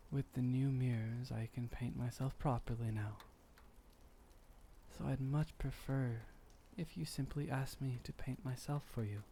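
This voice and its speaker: A man playing a gentle, melancholy, centuries-old vampire painter in an intimate ASMR roleplay, soft-spoken and musing, with formal old-fashioned phrasing. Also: mid-range voice, a little hiss or hum. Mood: wistful musing.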